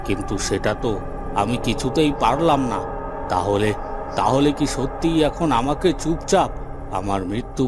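A man voicing a cowardly, scheming fairytale cartoon villain, fretful and halting, repeating himself in dread. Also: medium pitch, natural voice. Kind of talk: cartoon dubbing. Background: music.

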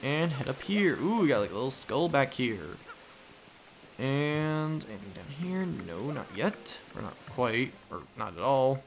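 A man's voice: medium pitch, very animated, a little slow, creaky, a little hiss or hum. A young adult man giving casual, rambling commentary as he plays a video game. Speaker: let's play host. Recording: game music behind voice. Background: video game music.

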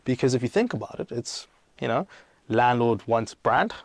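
A man's voice: mid-range voice, animated, normal speed, dry recording. Emphatic young man explaining, dry close sound. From South Africa and Greece. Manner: emphatic, explanatory, poses and answers own questions. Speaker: startup CEO, podcast guest. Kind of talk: conversational interview answers.

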